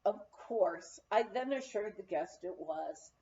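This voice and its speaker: A woman reading an anecdotal memoir aloud as an expressive storyteller. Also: medium pitch, slow pace, lo-fi sound. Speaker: audiobook reader.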